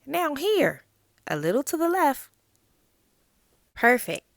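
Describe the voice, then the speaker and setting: A woman voicing a stubborn, childlike little frog in a picture-book read-aloud, protesting with drawn-out emphasis. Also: high-pitched, big pitch swings, gravelly, dry recording, studio quality, heavily acted.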